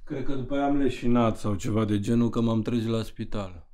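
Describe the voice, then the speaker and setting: A man's voice: medium pitch, mellow, natural voice. An actor playing a troubled grown son, a young man confiding in a bitter, wry, conversational way in an audio drama.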